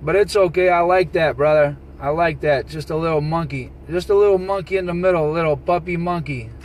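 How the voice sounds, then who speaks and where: A man's voice: fairly high pitch, fairly quick, noisy background. A man chatting casually as a CB radio operator, repeating his phrases and calling people man and brother.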